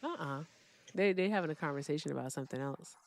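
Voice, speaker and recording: A woman's voice: sits low, fast talker, no echo. A woman hosting a casual livestream debate, blunt and profane, laughing often.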